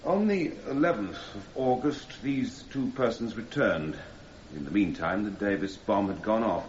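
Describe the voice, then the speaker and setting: Courtroom radio drama: incredulous male prosecutor, lowish pitch, probing and stern, echoing room. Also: expressive. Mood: pressing. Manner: pointed, incredulous, deliberate. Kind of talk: radio courtroom cross-examination acting. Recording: roomy, slightly muffled, faint background noise.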